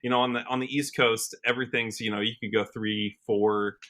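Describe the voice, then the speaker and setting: Bright-voiced young American man chatting. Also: lowish voice, flat delivery, a little fast. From Washington State, United States. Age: early 30s. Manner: conversational, peppers talk with "you know". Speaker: guest, country musician. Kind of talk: casual interview talk.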